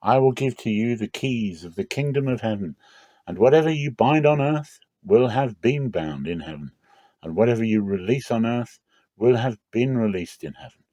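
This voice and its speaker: A man's voice: mid-range voice, animated, moderate pace, warm tone, no echo, pitched above the performer's own voice, natural voice. A man voicing a stern, authoritative male teacher who instructs emphatically, in a dramatic scripture reading. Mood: solemn, authoritative.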